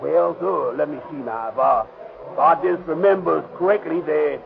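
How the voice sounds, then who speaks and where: A man's voice: on the high side, normal speed, creaky, crowd noise. An actor playing a nervous male witness who stammers and hesitates in folksy, ungrammatical speech, in 1930s radio drama style, on a tinny old broadcast recording. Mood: flustered.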